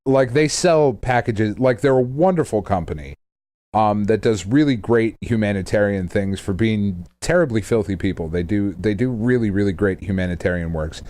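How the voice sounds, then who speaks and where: A middle-aged American man with a fairly low voice co-hosts a podcast. He talks casually and at length, rambling through asides, jokes and swearing. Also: lively intonation, moderate pace, a little mellow, dry recording. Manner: rambling, jokey, profane. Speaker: podcast co-host. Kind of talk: casual banter.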